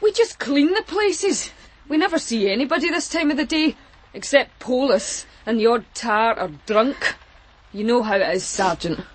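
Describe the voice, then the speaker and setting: A woman's voice: fairly high pitch, lively intonation, normal speed, slight background noise, natural voice. Blunt Glaswegian cleaning woman played by a woman. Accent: Glaswegian Scottish. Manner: blunt, chatty, colloquial. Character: blunt, earthy female office cleaner, comforting and unfazed. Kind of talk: radio crime drama acting.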